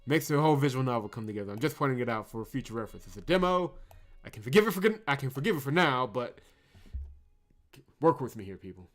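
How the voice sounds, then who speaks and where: A man's voice: mid-range voice, animated, quick pace. A man hosting a game playthrough, mixing commentary and narration read aloud with wry, teasing asides. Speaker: host of a visual novel playthrough channel. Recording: music behind the voice. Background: game music.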